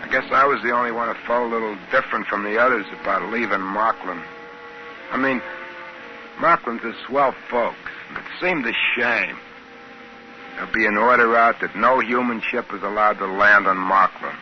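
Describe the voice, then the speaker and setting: A man's voice: fairly low pitch, normal speed, slight background noise, natural voice. An actor playing a plainspoken, wistful spaceman narrating in a homespun, colloquial way, in 1950s radio drama style on an old muffled recording. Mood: wistful, scheming.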